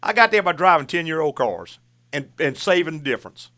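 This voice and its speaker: Older man, radio host, crisp bright tone, plain-spoken, giving practical advice. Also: a little high, big pitch swings, brisk. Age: 60s. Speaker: radio show host and auto repair shop owner. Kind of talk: call-in advice show.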